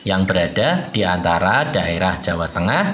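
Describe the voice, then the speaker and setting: A man's voice: deep voice, lively intonation, normal speed, fairly bright tone, a little hiss or hum. A man voicing a friendly young male guide in a cartoon, welcoming children and explaining where they will go. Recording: roomy echo.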